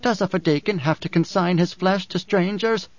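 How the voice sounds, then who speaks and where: A man's voice: sits high, moderate pace, no echo, highs cut off, voice pushed up, lightly acted. A man voicing a bitter, proud desert woman, worn but not old, in an audiobook, with an edged, reproachful manner.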